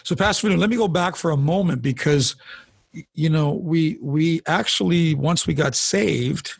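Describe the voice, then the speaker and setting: A man's voice: on the high side, normal speed, creaky, breathy edge, no room sound, studio quality. A middle-aged man, a pastor, earnestly telling his life story in a conversational interview, often restarting and repeating words as he goes. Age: 40s or older. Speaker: pastor and guest. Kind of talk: personal testimony in a conversational interview.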